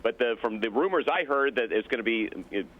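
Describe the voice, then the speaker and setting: A man's voice: mid-range voice, animated, a little fast, lo-fi sound. A man, a radio morning host, chatting casually as a guest over a video call. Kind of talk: casual interview chat.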